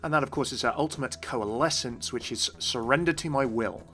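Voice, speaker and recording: A man presents a scripted video game explainer. His voice is bright and keen, pitched in the middle, and he addresses viewers directly over faint background music. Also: a little fast. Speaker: video host, game lore commentator.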